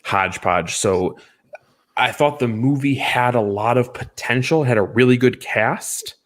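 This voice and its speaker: A man's voice: mid-range voice, measured pace. A man co-hosting a casual film discussion, giving emphatic opinions and repeating points for stress. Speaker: podcast co-host reviewing films.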